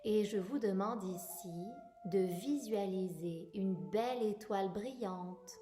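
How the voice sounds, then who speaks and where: A woman guiding a yoga relaxation meditation in a calm, soothing, unhurried manner, leaving long pauses. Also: medium pitch. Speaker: yoga nidra guide. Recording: roomy echo, music bed. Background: music.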